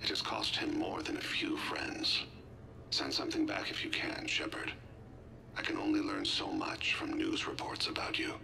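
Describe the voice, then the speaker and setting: An actor playing an ailing, reflective alien ex-assassin in a video game, speaking formally and measuredly in a gravelly, breathy voice as he leaves a personal message. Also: low-pitched, wildly swooping pitch, normal speed, whispered, full character voice, rough recording. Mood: wry, resigned. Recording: clear background noise and ambience.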